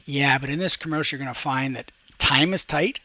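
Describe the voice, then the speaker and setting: A man teaching a class, talking casually through each step of a software tutorial and checking in as he goes. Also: medium pitch, fairly quick, no room sound. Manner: talks through each step while demonstrating, checks in with "okay".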